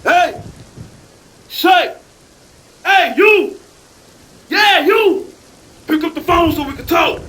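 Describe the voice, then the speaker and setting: A man's voice: very high-pitched, expressive, slow pace, long pauses, sharp tone, exaggerated voice, rough recording. A man playing a blustery, suspicious restaurant boss in a radio play, with folksy, bossy, colloquial speech. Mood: insistent, scolding.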